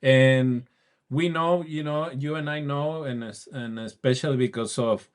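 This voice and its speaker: A man's voice: medium pitch, fairly even intonation, unhurried, clear, resonant tone. A man hosting a business podcast, welcoming a guest in a friendly, eager way and adding short affirmations. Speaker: podcast host. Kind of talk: podcast interview.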